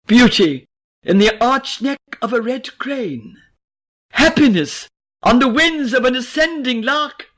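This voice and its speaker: Slow, dramatic woman reciting poetry. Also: fairly high pitch, a bit bright. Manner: dramatic, declamatory, measured short phrases with emphatic stresses. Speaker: poet reading own poetry.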